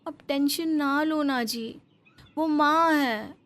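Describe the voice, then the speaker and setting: Pampered young daughter-in-law played by a woman, very high voice, sugary and placating, cartoon family melodrama. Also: slow pace, music in the background. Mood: coaxing, placating. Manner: coaxing, wheedling, meekly placating. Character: sweet-talking young daughter-in-law, pampered rich wife. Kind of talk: melodramatic Hindi moral-story cartoon acting.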